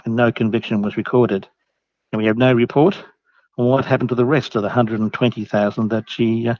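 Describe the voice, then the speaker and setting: A man's voice: medium pitch, darkish tone. Dull, echoey man phoning in. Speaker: convention reporter. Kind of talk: phoned-in report. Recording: dull phone-in audio, echoey, faint music.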